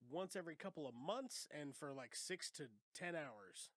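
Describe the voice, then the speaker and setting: A man's voice: on the high side, animated, moderate pace, a bit bright, no echo, clean studio sound. A young man hosting a podcast in loose, profane casual chat, sounding congested. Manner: loose, profane, laughs often. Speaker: podcast host.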